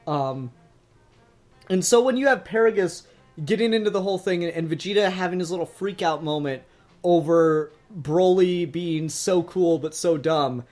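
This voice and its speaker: A man's voice: sits high, moderate pace, darkish tone, soft background music. A man co-hosting a podcast, giving opinionated, emphatic takes with plenty of swearing in a casual fan discussion. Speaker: podcast co-host.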